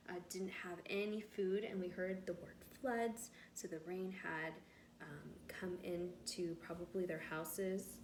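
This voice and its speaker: A woman reading a storybook aloud expressively to children, with simple explanations, like a teacher. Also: medium pitch. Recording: roomy echo with faint background noise.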